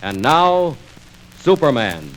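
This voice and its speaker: Animated American radio serial narrator, male. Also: medium pitch, takes it slowly, slight background noise, rough recording. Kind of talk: dramatic 1940s radio narration.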